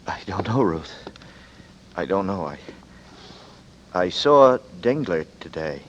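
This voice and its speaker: A man's voice: deep voice, very animated, full character voice. An actor as a weary, bewildered man on the run. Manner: hesitant, halting, bewildered. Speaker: actor in a radio drama, also narrating. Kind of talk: 1940s radio acting with first-person narration. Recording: dull old radio recording with music behind.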